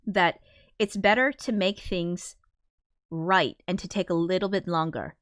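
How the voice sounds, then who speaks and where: A woman's voice: on the low side, dry recording, studio quality. A young woman hosting her own livestream, chatting casually and a bit self-deprecatingly while a little sick and sniffly. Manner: chatty, self-deprecating, swearing, quick laughs. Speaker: livestream host.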